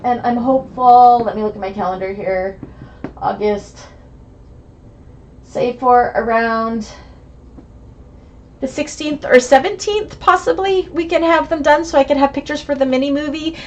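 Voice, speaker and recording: A woman teaching a live online sewing class, chatty and encouraging as she answers viewer comments. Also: high-pitched. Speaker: sewing instructor.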